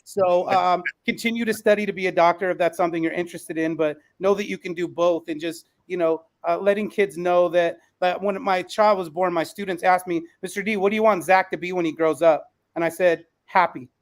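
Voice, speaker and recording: A man's voice: high voice, steady tone, fairly quick, hardly any pauses. A man who teaches, chatting casually as he hosts a panel discussion. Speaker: podcast host and school teacher. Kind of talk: casual conversational panel hosting.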